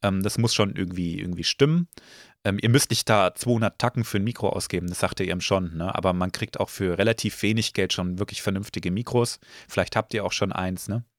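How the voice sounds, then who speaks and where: A slightly hoarse man hosting a casual podcast, relaxed and full of filler sounds. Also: sits low, fast talker, dry recording, clean studio sound. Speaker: podcast host.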